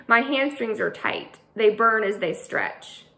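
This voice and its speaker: A woman author reading her middle grade novel aloud, with an expressive storytelling delivery. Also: fairly high pitch, slow pace. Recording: echoey room.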